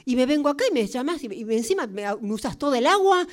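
A woman's voice: high-pitched, sing-song, fast talker. A woman playing a grumpy sleepy Argentine roommate. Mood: angry. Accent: Argentine Spanish. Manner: groggy, irritable, swearing. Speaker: radio drama workshop actor. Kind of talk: improvised radio play.

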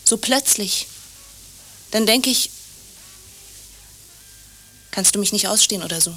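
A sharp, forceful female voice plays an anxious landlady in a radio drama. She clings and insists, repeating short phrases in a mid-pitched, urgent way. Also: a little slow, soft background music. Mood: anxious, pleading. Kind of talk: naturalistic radio drama dialogue.

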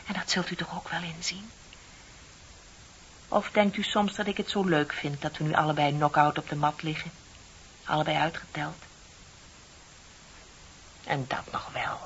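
Bitter, sardonic office worker, muffled hissy recording. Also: female voice, on the low side, a bit bright. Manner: confiding, sardonic, dramatically emphatic. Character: bitter, lonely middle-aged female office worker, needling a colleague. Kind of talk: Dutch radio play acting. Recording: dull, hissy old radio recording.

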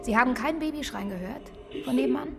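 An actress playing a brisk, insistent police investigator in a naturalistic radio crime drama, with direct, pressing questions. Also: female voice, sits high, gravelly, music in the background.

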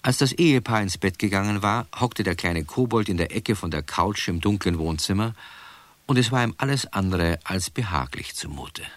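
A man narrating a scripted children's radio play as a calm storyteller. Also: lowish voice, normal speed, no echo, lightly acted.

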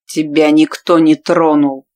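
Woman doing a menacing male chieftain, slow forceful delivery, growling, audiobook fantasy. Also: sits low, flat delivery.

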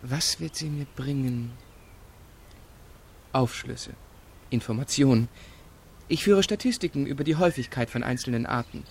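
A man's voice: medium pitch, moderate pace, vocal fry, pauses often, darkish tone, breathy edge. A man playing an amateur birdwatcher in a radio crime drama, giving precise, evasive answers. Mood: measured, evasive.

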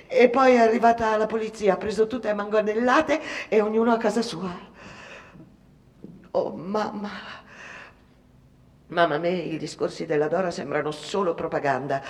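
A woman's voice: mid-range voice, moderate pace, pauses often, lightly acted. An actress playing a fretful, disapproving immigrant seamstress, telling her story in a conversational theatrical monologue. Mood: vivid recounting. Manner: conversational, repeating words, mimicking others. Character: Italian immigrant factory seamstress, disapproving, fretful mother's confidante. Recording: long reverberant hall.

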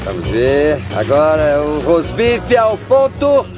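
A goofy, dim-witted young dog groomer, chatting and mock-scolding in a high, bouncy voice. His pitch leaps all over the place. Film music plays clearly behind him. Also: wildly swooping pitch, slow pace, heavily acted. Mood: teasing. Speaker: dubbing actor. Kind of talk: Brazilian Portuguese film dub.

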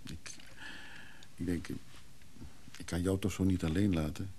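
A man's voice: low-pitched, little pitch movement, measured pace, mellow, a little hiss or hum. An actor playing a widow's hesitant, guilt-ridden lover in a radio play, musing as he talks. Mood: tender, troubled. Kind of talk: Dutch radio play acting.

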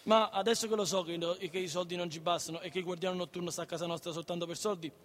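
High-voiced, stammering Neapolitan schoolboy. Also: male voice, very fast, slight background noise. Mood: insistent. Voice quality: stammering, repeating first syllables and words. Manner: childlike, insistent, excitable. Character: eager, naive, chattering schoolboy son of a struggling mother. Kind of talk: radio theatre acting. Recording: reverberant hall.

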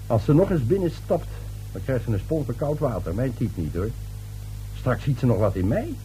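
A man's voice: mid-range voice, big pitch swings, normal speed, mellow, soft background music, natural voice, low-quality recording. An actor playing a middle-aged, dismissive husband and father in a radio play, curt, scornful and guarded.

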